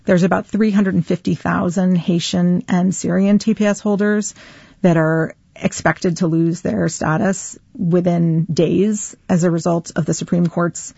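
A woman speaking as a legal advocate guest on a radio interview, slow and deliberate with pauses. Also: lowish voice, level tone, creaky, mellow, husky, no echo, lo-fi sound. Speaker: civil liberties lawyer guest.

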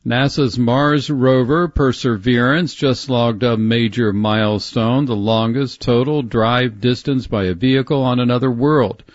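A man reading a radio newscast in a steady, formal manner. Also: medium pitch, measured pace, hardly any pauses, no room sound, dull audio. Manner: steady, formal news delivery.

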